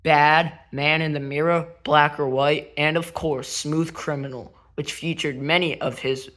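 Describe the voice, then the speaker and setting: A man narrating a biography as a read-aloud, telling it simply and in measured phrases. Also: a little high, little pitch movement, sharp tone. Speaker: narrator and show host.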